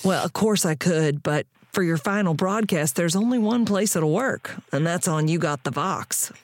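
A woman's voice: lowish voice, moderate pace, close to an everyday voice. A woman playing a world-weary, plain-spoken radio tinkerer with a folksy frontier manner in an audio drama. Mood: knowing, practical.

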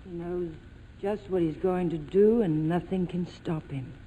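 Actress as a determined forties radio heroine. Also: female voice, lowish voice, measured pace, dark tone, natural voice. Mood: bitter. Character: determined, bitter young woman, a hard-edged heroine. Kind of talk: 1940s radio drama acting. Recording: faintly noisy vintage radio broadcast.

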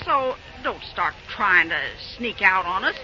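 A woman's voice: medium pitch, animated, measured pace, bright, forceful tone, faint music behind. An actress playing a bossy, insistent, no-nonsense country woman in an old-time radio drama. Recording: dull old radio recording.

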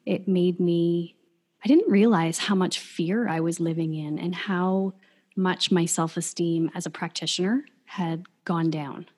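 A woman in her thirties co-hosting a podcast, chatting in a warm, reflective and earnest way. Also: lowish voice, steady tone, unhurried, breathy edge. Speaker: podcast co-host. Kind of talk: casual podcast chat.

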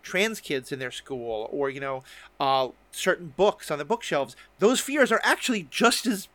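A man hosts a relaxed interview show. His voice sits a little higher than most men's. He speaks earnestly and at length, often doubling back as he goes. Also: moderate pace, dry recording. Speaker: podcast host interviewing guests. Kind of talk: casual conversational interview.

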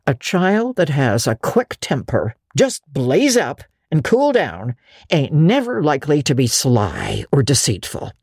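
A woman's voice: sits low, huge pitch swings, measured pace, darkish tone, breathy edge, no echo, studio quality, heavily acted. A woman voicing a kindly but officious older country woman, folksy, hearty and outspoken, in a storybook reading. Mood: musing, approving. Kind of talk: dramatic audiobook reading.

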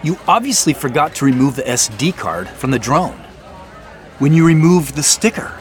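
A man's voice: fairly high pitch, very animated, moderate pace, faint music behind, a faint crowd. An actor playing an earnest, probing male boss in naturalistic audio drama, speaking in an insistent, questioning, conversational way. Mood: accusing, probing.

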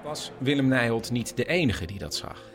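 Male narrator with lively, sweeping intonation. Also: mid-range voice, normal speed, faint music behind. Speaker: radio documentary narrator. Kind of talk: scripted narration.

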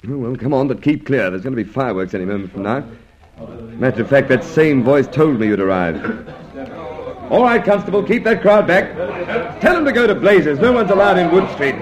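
An actor playing a gruff, exasperated and shrewd police inspector in an old radio drama, brusque, clipped and dry. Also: male voice, medium pitch, sing-song, brisk, crowd noise, lightly acted. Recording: muffled old radio recording.

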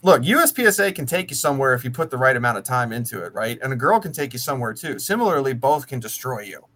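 A man's voice: mid-range voice, lively intonation, fast, a bit bright. An American man of about thirty chatting casually, talking at length in a blunt, sweary way. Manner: blunt, profane, talkative. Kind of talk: casual chat.

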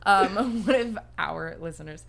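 A woman's voice: mid-range voice, expressive, a little slow, gravelly, very noisy. A woman in her late twenties co-hosting a podcast, joking about herself with frequent giggles and reading listener letters. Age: late 20s. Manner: giggly, self-mocking, reads listener letters. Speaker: podcast co-host. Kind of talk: casual comedic chat.

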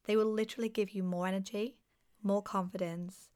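A woman hosting a motivational self-help podcast, her voice husky and half gone as she loses it, talking in an encouraging way. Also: medium pitch, steady tone, fairly quick, a little mellow. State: losing her voice. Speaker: podcast host.

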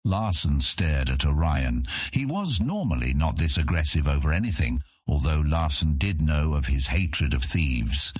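A man narrating a scripted fantasy audiobook in a measured storytelling manner. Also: a bass voice, expressive, husky, no room sound, studio quality.

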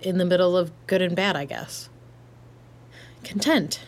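A woman's voice: fairly low pitch, measured pace. A woman playing a laid-back, blunt young hardware tech in an audio drama, casual and slangy with dry humor. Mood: reflective, easygoing. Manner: casual, slangy, dryly joking. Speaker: voice actor. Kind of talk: naturalistic audio drama dialogue.

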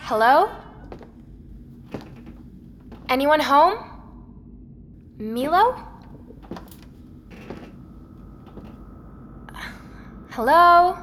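A woman's voice: sits high, big pitch swings, slow pace, a bit bright, a little hiss or hum, full character voice. An actress playing a curious, polite woman investigator in a naturally spoken audio drama. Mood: nervous, apologetic. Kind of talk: audio drama, intimate narration and natural dialogue.

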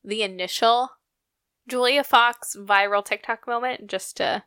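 A young woman co-hosting a podcast, talking in a gushing, chatty way and laughing often. Her voice is a little high and very bright, recorded close and dry. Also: fairly even intonation, measured pace, clear, resonant tone, no echo. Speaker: podcast co-host. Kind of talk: casual chat.

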